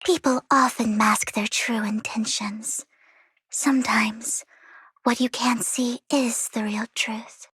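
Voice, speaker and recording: A woman playing an elegant, secretive, wealthy young lady in a video game, breathy and poised, with a teasing, deliberate manner. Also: a little high, fairly even intonation, no room sound, full character voice. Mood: sly, confiding. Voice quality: breathy, hushed. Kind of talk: video game character acting.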